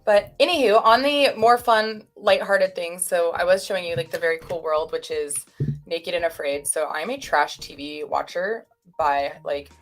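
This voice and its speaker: A woman's voice: lowish voice, normal speed, soft background music. A woman co-hosting a casual livestream, chatting in a rambling, self-deprecating way. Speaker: stream co-host.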